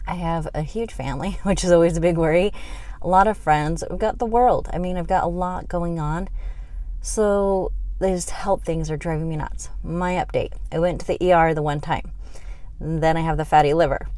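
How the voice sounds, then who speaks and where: A woman's voice: lowish voice, steady tone, moderate pace, warm tone, no room sound. A middle-aged woman giving a frustrated, rambling update on her health, venting with many fillers. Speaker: health vlogger.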